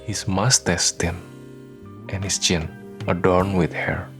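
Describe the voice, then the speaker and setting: A man narrating a scripted radio drama in a solemn, measured storytelling manner. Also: deep voice, level tone, a bit bright, faint music behind.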